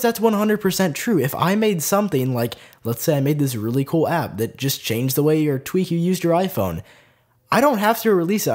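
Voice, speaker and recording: A young man with a stuffy nose from a cold, hosting a casual tech news vlog in a chatty way with plenty of fillers. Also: fairly high pitch. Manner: chatty, lively, lots of "like" fillers. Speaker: tech video host.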